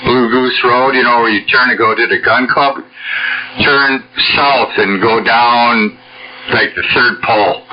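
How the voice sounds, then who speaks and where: A man's voice: medium pitch, steady tone, unhurried, lo-fi sound. A man with an American Midwestern accent talking casually and somewhat hesitantly over two-way radio. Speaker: amateur radio net participant. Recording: noisy band-limited shortwave radio transmission.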